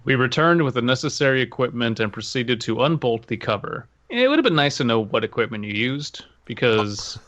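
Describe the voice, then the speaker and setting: Guy reading a story out loud on a podcast, mid voice, sarcastic asides, muffled. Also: medium pitch, very animated, brisk, hardly any pauses. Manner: wry, skeptical asides. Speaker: podcast co-host. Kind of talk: reading stories aloud and critiquing. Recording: dull muffled audio.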